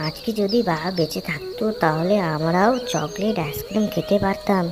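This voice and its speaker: A woman's voice: on the low side, moderate pace, background noise, natural voice. A woman voicing a little bird chick in a cartoon, childlike and wheedling. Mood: wistful complaint.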